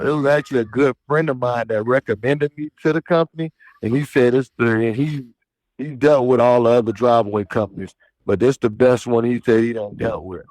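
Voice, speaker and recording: A man's voice: mid-range voice, darkish tone, no echo, poor audio. Trucker guest, man in his 30s, rambling easygoing delivery, dry sound. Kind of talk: casual phone interview. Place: outdoors at a trick-or-treat event.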